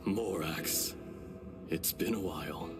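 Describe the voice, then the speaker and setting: An actor playing a calm, solemn ancient dragon spirit in human form, speaking gravely and formally in a video game. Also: male voice, low voice, over loud music. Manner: measured, grave, formal explaining. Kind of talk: dramatic video game voice acting.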